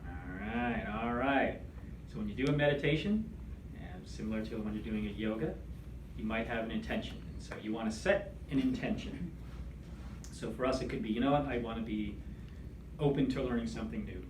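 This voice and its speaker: A man leading a meditation class, speaking in a gentle, soothing, conversational way. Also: mid-range voice, fairly quick. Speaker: meditation instructor. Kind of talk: guided meditation instruction. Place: room with attendees seated in chairs. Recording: echoey room, distant mic, background noise.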